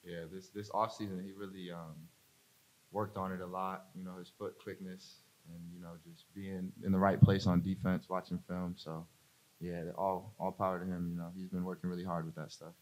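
A young man, a college basketball player, answering reporters at a postgame press conference in a low-key, relaxed way, full of fillers, into a desk mic. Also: normal speed, rough recording. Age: young adult. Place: press conference room, seated at a table. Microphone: desk mic on a stand.

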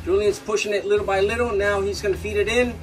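A man's voice: high voice, steady tone, fast talker, over music, low-quality recording. A man working as a technician, explaining a hands-on repair step by step in an instructional way. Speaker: marine electrical technician presenting repairs. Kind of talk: hands-on tutorial commentary.

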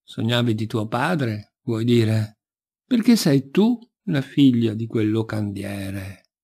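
A man voicing a grumpy, knowing ogre in a folk tale, explaining things slowly and grumbling. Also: lowish voice, big pitch swings, a little mellow, breathy edge, exaggerated voice. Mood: knowing, explanatory. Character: gruff, grumpy, knowing male ogre, a sleepy husband.

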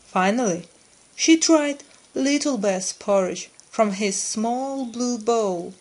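Slow-paced woman reading a children's story. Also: mid-range voice. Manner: deliberate, clearly phrased for learners. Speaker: storyteller for English learners. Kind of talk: scripted children's story narration.

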